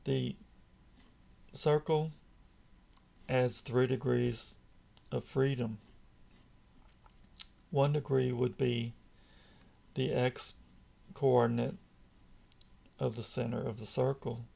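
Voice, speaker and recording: A man with a raspy, gravelly voice talks through a screen-recorded software tutorial. His pace is slow and his tone stays flat and dark. He explains each step patiently and reassures the viewer. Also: medium pitch. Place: indoors at a computer, voice-over on screen capture.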